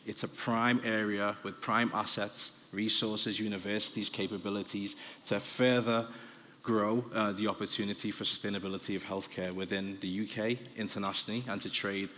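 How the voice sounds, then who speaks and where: Man, liverpool accent, lowish voice, brisk conference talk, long hall reverb. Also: level tone, fairly bright tone. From Liverpool, England. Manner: brisk, hurrying through slides. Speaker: conference panel speaker. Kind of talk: business presentation with slides. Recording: distant hall reverb with faint noise.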